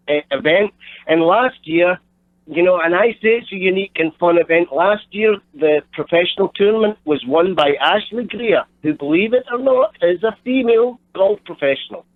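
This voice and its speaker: Scottish charity guest on a phone line, somewhat high voice, explaining carefully. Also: male voice, no echo. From Scotland. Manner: explanatory, repeats words when searching. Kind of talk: radio phone interview.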